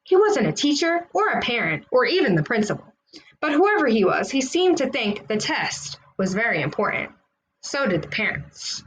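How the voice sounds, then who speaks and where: A woman's voice: a little high, big pitch swings, moderate pace, a little hiss or hum, rough recording. A woman reading a children's storybook aloud, narrating expressively in the words of a school kid. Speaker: children's read-aloud host.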